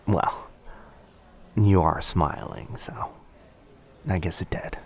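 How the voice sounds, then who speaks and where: A man playing a shy, sweetly awkward young stranger in a boyfriend ASMR roleplay, speaking softly and hesitantly in an intimate, rambling way. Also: sits low, takes it slowly, slightly rough, exaggerated voice. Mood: shy, amused. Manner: hesitant, rambling, soft-spoken with trailing phrases. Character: very shy young male stranger, sweetly awkward, overthinking coffee-shop crush.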